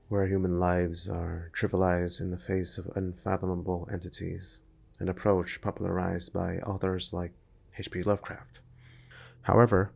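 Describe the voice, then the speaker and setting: A man's voice: low voice, gravelly, darkish tone. A man reading a scripted analytical video essay in a formal, academic manner. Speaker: video essay narrator and amateur filmmaker.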